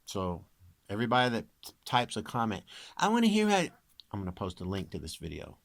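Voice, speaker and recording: Male online creator, low deep voice, informal wry chat, very little room sound. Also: huge pitch swings, fairly quick, gravelly, no echo. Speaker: amateur singer and video creator presenting own recording. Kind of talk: casual chat to viewers.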